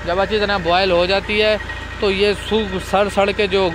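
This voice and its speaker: A man's voice: high-pitched, level tone, moderate pace. A young man from Pakistan with a Pakistani Punjabi accent, chatting casually to viewers on a village farm while filming on a phone at arm's length. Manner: chatty, repeatedly addressing viewers as guys. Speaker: vlogger. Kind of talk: casual walkthrough vlog. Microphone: phone held at arm's length. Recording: outdoor phone recording with engine noise.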